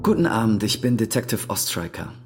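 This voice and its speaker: An actor playing a formal, probing police detective questioning suspects in a radio crime drama, with a husky, gravelly voice. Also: male voice, lowish voice, very animated, brisk, loud music behind the voice.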